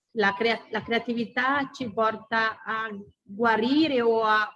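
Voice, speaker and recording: A woman's voice: mid-range voice, fairly bright tone, muffled recording. A woman whose first language is Italian, asking a hesitant question at an online forum. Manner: hesitant, searching for words. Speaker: audience member asking a question.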